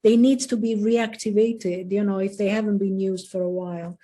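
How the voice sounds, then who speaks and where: Mellow-voiced woman, reassuring online lecturer. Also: medium pitch, steady tone, normal speed. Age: older. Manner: reassuring, explanatory, with many "you know" fillers. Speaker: linguistics professor, guest speaker. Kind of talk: answering audience questions in an online talk. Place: home office with bookshelves. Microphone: laptop webcam on a video call.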